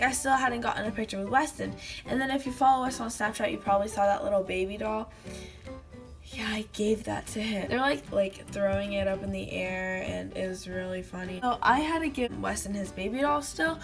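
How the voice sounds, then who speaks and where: Teen girl vlogging in her bedroom on a webcam, kinda hoarse and gravelly, giggly, lots of "like", faint music under it. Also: medium pitch, normal speed. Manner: chatty, giggly storytelling with many fillers. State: slightly hoarse from a lost voice. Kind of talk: casual chat to camera. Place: small bedroom indoors.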